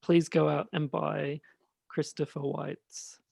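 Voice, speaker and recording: A man hosting a casual podcast chat, speaking haltingly and often repeating his phrases. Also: on the high side, flat delivery, vocal fry, a little mellow, breathy edge, dry recording, clean studio sound. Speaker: podcast host.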